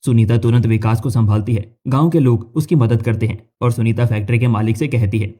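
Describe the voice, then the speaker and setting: Story narrator, male, mid-pitched, soft-edged mellow tone, scripted cartoon narration. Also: fairly even intonation, fairly quick.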